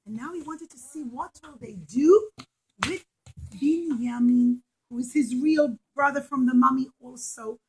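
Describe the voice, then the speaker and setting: A woman teacher telling a story to young children in an animated way, with simple, repetitive, emphatic phrasing. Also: high voice, a little slow, a little hiss or hum, poor audio.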